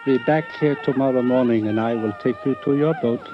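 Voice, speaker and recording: Actor as shrewd, measured trader on muffled radio. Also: male voice, medium pitch, background noise, natural voice. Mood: coolly haggling. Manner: formal, measured, haggling coolly. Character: shrewd, imperious boat dealer in India, a man. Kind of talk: 1950s radio drama acting. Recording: muffled vintage 1950s radio recording.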